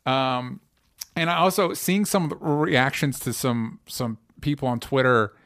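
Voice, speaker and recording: A man co-hosts a casual chat, speaking hesitantly and often restarting his sentences, with lots of easy agreement. His voice sits at a middle pitch. The sound is dry and close, with no room echo. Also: a little slow, a bit bright. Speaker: co-host.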